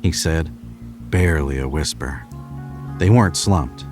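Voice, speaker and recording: A man's voice: rumbling low, a little slow, over music. A man narrating scripted horror fiction in a dramatic storytelling style.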